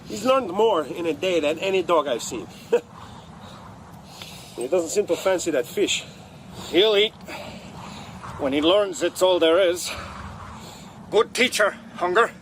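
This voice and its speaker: An actor playing a brisk, hard-driving dog-sled driver in a radio drama, speaking in short, commanding phrases. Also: male voice, sits high, animated, low-quality recording. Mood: amused, appraising. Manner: barking short commands and calling out names. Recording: loud outdoor-like background noise.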